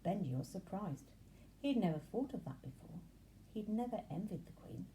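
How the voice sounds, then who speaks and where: A woman reading a children's story aloud in an expressive narrating style. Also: medium pitch, moderate pace, a little hiss or hum, low-quality recording. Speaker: storybook reader.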